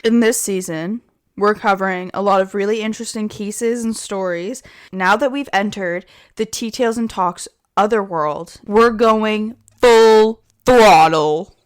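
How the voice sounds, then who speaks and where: A woman co-hosting a casual podcast who teases her co-host with quick quips and laughs often. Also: mid-range voice, clean tone. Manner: teasing, quick-witted, laughs a lot. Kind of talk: casual chat.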